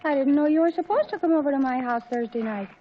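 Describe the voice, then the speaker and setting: A woman's voice: high-pitched, fast, a little hiss or hum, lightly acted. An actress playing a gushing, easily impressed teenage girl in a 1940s radio comedy. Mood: dithering. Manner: gushing, breathless exclamations. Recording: dull, muffled 1940s radio recording.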